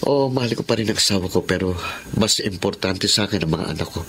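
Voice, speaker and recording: A man's voice: sits low, quick pace, a bit bright. Humble struggling father, noisy recording. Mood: resigned. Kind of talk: Tagalog radio drama acting.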